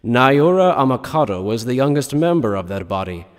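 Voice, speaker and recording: A man's voice: mid-range voice, big pitch swings, moderate pace, big-room sound, natural voice. A man reading scripted narration for an anime fan dub as its storyteller.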